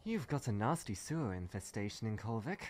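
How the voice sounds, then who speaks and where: A man's voice: lowish voice, very animated, fairly quick, darkish tone. A man playing a witty, unflappable female archaeologist adventurer in a comic dub, full of wry quips and muttered asides. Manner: wry, quipping, talks to self. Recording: music behind the voice. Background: clear music.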